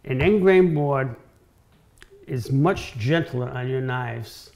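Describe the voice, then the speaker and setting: A man's voice: mid-range voice, lively intonation, takes it slowly, vocal fry, a little mellow. A man in his sixties explaining things informally in a demonstration, often repeating and restarting his phrases. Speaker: guest woodworker explaining cutting boards. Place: home-style kitchen, indoors.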